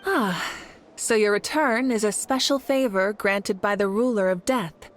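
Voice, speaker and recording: A woman's voice: mid-range voice, a little hiss or hum, close to an everyday voice. Dignified warrior queen, voiced by a woman, solemn. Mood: composed, inquiring. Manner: formal, measured, solemn. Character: dignified, resolute warrior queen who leads a nation. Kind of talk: scripted video game dialogue.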